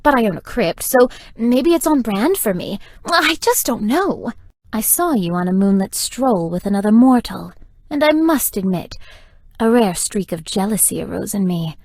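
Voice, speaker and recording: A woman's voice: medium pitch, big pitch swings, moderate pace, darkish tone, no echo, clean studio sound. A woman voicing a flirtatious, playful, theatrical vampire in a video game, teasing and melodramatic. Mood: playful, confiding. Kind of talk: scripted video game character dialogue.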